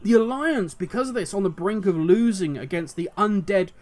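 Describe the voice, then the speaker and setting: High-voiced man with a hoarse voice. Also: expressive, moderate pace, a little mellow. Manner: passionate, rambling, musing aloud. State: voice going hoarse. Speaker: video host. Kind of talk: solo commentary on game lore.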